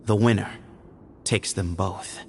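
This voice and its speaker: An actor playing a proud, guarded young temple heir who speaks formally and deliberately in a video game. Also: male voice, low voice, steady tone, slight background noise.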